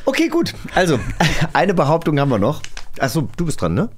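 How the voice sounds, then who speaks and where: A man's voice: on the high side, wildly swooping pitch, a little fast, a crowd in the background. A German man co-hosting a podcast in a casual, playful quiz style. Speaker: podcast co-host.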